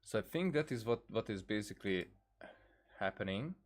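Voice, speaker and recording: Low-voiced man giving hesitant game commentary. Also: lively intonation, normal speed. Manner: hesitant, repeats words, thinks aloud. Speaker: gaming video creator. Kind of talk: casual, rambling game commentary.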